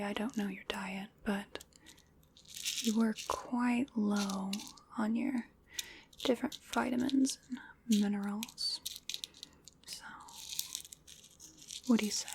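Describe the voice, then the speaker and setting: A woman playing a playful, fussy vampire in a whispered ASMR roleplay, musing softly and coaxing with a mildly bossy touch. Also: fairly high pitch, noisy background. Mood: coaxing, polite. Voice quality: soft breathy whisper. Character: playful, fussy female vampire seasoning her human snack.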